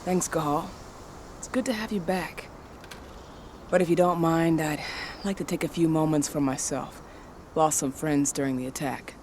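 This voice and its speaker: Man as a higher-voiced, frank rebel tech saboteur. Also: steady tone, normal speed, pauses often, darkish tone, slight background noise, close to an everyday voice. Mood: subdued. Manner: casual, frank. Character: pragmatic, supportive rebel comrade and tech saboteur. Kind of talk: video game cutscene acting.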